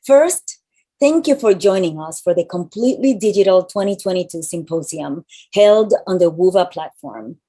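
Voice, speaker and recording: Woman, mild Spanish accent, deep voice, measured scripted announcements, event host, video call. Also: mellow, breathy edge. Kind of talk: reading scripted welcome announcements. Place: large room.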